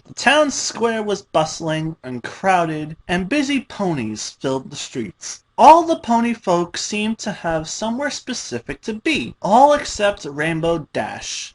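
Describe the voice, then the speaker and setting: A husky voice reads a story aloud at an unhurried, deliberate pace. The intonation moves widely up and down through the narration. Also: mid-range voice. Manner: slow, deliberate reading. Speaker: story reader. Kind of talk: dramatic story reading among friends.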